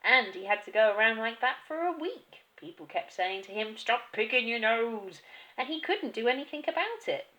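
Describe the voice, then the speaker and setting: A woman's voice: fairly high pitch, lively intonation, normal speed, fairly bright tone, highs cut off, pitched above the performer's own voice. A woman voicing a clever little girl who speaks with sly, sweet innocence in a storybook reading. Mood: sweetly sly.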